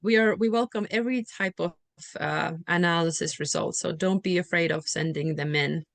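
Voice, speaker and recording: A woman's voice: fairly low pitch, moderate pace, creaky, no room sound. A woman from Finland, presenting in a webinar in a friendly, reassuring way with frequent fillers. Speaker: software company presenter.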